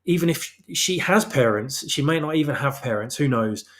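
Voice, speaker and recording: Man, medium pitch, guest author, casual interview talk, emphatic, opinionated, explanatory. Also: animated, moderate pace, a bit bright. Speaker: guest author of fan fiction. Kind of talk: casual interview chat about his own story.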